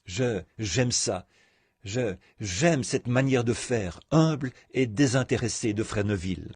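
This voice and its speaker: A man interpreting a sermon, taking his time over each phrase. His voice is husky. The sound is dry and close. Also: medium pitch, takes it slowly, darkish tone, dry recording.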